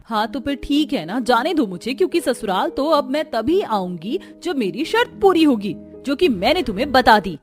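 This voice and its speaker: A huffy young wife from a Hindi cartoon family drama, acted by a woman. Her high voice is petulant and insistent, and soft music runs underneath. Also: big pitch swings, normal speed, hardly any pauses. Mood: defiant. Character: stubborn, huffy young wife and daughter-in-law. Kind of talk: Hindi cartoon story voice acting.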